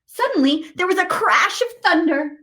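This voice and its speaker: A woman's voice: very high voice, animated, normal speed, pushed and bright. A woman reading a picture book aloud to children expressively, with chatty asides to young listeners. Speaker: storytime host reading to children.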